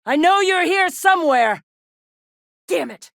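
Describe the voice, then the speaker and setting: An actress playing a tough, gruff female rebel leader in a video game, with a blunt, salty, commanding manner. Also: extremely high pitch, expressive, a little slow, a bit bright, no room sound, clean studio sound, full character voice. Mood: fierce, shouting.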